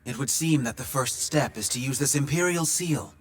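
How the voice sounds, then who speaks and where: An actor playing a loyal young strategist in a video game, speaking in a measured, courteous and assured way. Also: male voice, mid-range voice, lightly acted. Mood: reflective, assured. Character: composed, loyal young male strategist and warrior. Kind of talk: video game cutscene acting.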